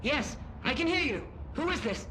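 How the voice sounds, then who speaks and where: A woman playing a brisk, commanding agency official in a video game. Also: high voice, animated, bright, forceful tone. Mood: brisk, wary. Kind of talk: video game cutscene acting. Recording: echoey hall, faint noise.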